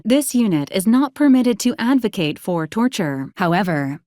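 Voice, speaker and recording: A female robot assistant from a video game, acted by a woman, politely officious and literal. She delivers formal advisories in a clipped, deadpan manner at a middling pitch. The sound is dry, with no room around it. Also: dry recording, natural voice. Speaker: robot companion giving mission advisories. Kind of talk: scripted video game character lines.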